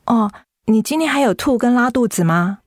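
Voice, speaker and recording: A woman's voice: on the high side, lively intonation, moderate pace, no room sound, studio quality. A woman playing a devoted young adult daughter in a radio drama, emotional and plaintive, reluctant to let go. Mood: caring. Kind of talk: Mandarin radio drama acting.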